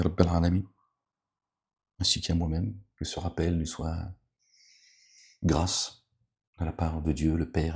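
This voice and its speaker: A man with an extremely low, deep voice preaches in an offhand, rambling way. He keeps breaking off with fillers and asides to the people listening. Between readings he admonishes them with stern warnings. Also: darkish tone. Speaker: religious commentator reading scripture. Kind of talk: informal spoken sermon with scripture reading.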